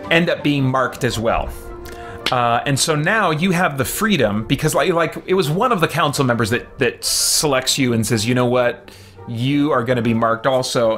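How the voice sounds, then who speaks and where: A man's voice: mid-range voice, a bit bright. A man giving casual let's-play commentary as a gaming host, rambling and thinking aloud as he goes. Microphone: microphone with a fan nearby.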